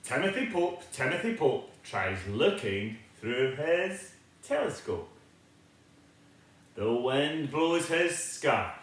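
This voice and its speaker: A man's voice: mid-range voice, big pitch swings, slow pace. A man reading a children's picture book aloud in a theatrical, sing-song, inviting way. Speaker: storybook reader. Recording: very echoey, reverberant room.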